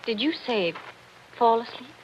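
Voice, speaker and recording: Imperious middle-aged wife played by a woman, brittle and dry, lively pitch, faintly noisy radio-play recording. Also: normal speed, dull audio, close to an everyday voice. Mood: strained, sharp. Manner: icily polite, dry sarcasm. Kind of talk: old-time radio drama acting.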